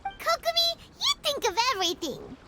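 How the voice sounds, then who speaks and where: A tiny girlish fairy sidekick from a cartoonish game, extremely high and squeaky. The perky, excitable voice swoops wildly up and down, with loud music behind it. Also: normal speed, exaggerated voice. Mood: indignant, impressed. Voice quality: squeaky, childlike. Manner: exclamatory, third-person self-reference. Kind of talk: cartoonish video game voice acting.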